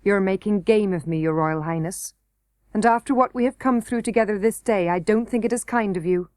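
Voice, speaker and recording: A woman's voice: medium pitch, a little fast, darkish tone, no room sound, studio quality, lightly acted. A woman voicing a blunt, vexed young miner boy who speaks curtly and rudely. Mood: puzzled. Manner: curt and rude.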